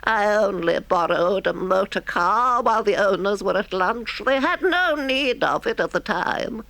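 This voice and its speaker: A man's voice: high-pitched, lively intonation, measured pace, vocal fry, sharp tone, dry recording, pitched above the performer's own voice, full character voice, poor audio. A man voicing a vain, self-pitying toad in a storybook, pompous, theatrical and wheedling. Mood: sheepish, defensive. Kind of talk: storybook character voice.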